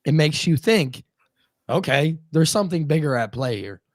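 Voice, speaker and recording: A man's voice: fairly high pitch, animated, moderate pace, no echo, studio quality. A man in his twenties co-hosting a casual wrestling stream, talking animatedly, swearing and repeating words. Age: 20s. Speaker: co-host. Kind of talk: casual live-stream chat.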